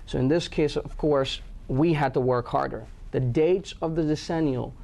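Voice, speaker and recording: A man's voice: on the high side, moderate pace, creaky, a little mellow. A man from Pakistan answering interview questions about his company, with many fillers and restarts. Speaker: guest, company head.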